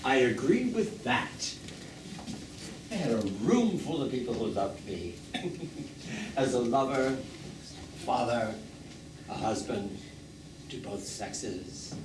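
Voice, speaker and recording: An actor playing a proud, wistful old dead man in a stage play, musing philosophically in a deliberate, theatrical way. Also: mid-range voice, lively intonation. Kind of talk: live stage play monologue addressed to audience. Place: small theatre stage, recorded from the audience. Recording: echoey theatre from far off, noisy.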